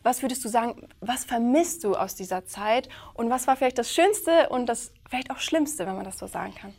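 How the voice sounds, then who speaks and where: A woman's voice: on the high side, fairly quick, a little mellow. A woman hosting an interview, friendly and attentive, asking questions with many listening sounds. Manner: friendly, asks questions, many "mhm" fillers. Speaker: host. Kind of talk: interview host.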